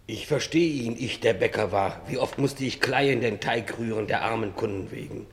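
A man's voice: mid-range voice, normal speed, slight background noise, natural voice. Radio drama male actor: baker among the dead, sympathetic, medium register, hollow reverb.

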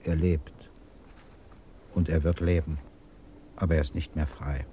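A man playing a grave, principled interrogator in a German radio drama, speaking in a measured, formal, earnest way. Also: rumbling low, flat delivery, warm tone, a little hiss or hum. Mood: grave, subdued. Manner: measured, formal, deliberate speech.

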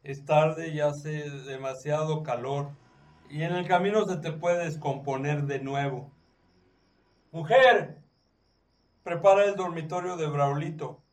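A man's voice: on the high side, slow. An actor with a Mexican accent playing a hospitable, easygoing middle-aged doctor and family man in a radio drama. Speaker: supporting actor. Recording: distant from microphone, music underneath.

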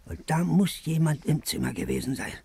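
A man's voice: fairly high pitch, lively intonation, fairly quick, gravelly, warm tone, husky, dry recording, heavily acted. A man acting an anxious, suspicious, secretive character in a 1950s radio play, speaking in a nervous, confiding way. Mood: worried, suspicious. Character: anxious, secretive man clutching his money, fearful, suspicious.

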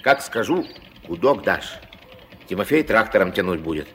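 An actor playing a gruff, terse old riverboat skipper and grandfather in a 1970s Soviet radio drama, giving orders in colloquial speech. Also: very animated, moderate pace. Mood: firm, instructing. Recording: noisy old mono radio play, engine rumble.

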